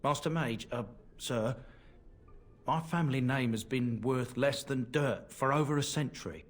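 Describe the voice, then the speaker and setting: A man's voice: medium pitch, a little slow, natural voice. An actor playing an earnest, deferential man of a disgraced family in a fantasy video game, hesitant and respectful. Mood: nervous, earnest. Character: deferential, earnest young nobleman defending his family name.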